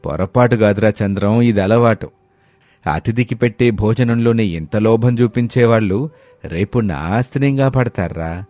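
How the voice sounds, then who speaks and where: A man's voice: fairly low pitch, moderate pace, a little mellow, dry recording, close to an everyday voice. A man playing an older village landlord, blunt and indignant, scolding emphatically in a dramatised moral story.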